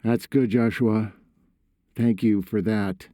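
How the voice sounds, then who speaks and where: A man's voice: lowish voice, steady tone, takes it slowly, mellow, no echo, studio quality. A man voicing a worried father in an audiobook, stern but caring, earnest and urgent. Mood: firm.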